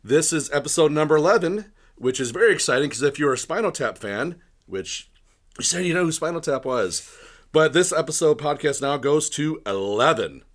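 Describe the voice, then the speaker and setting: A man hosting a podcast interview in casual chat, a little hoarse from bronchitis, rambling and often clearing his throat. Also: on the high side. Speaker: podcast host.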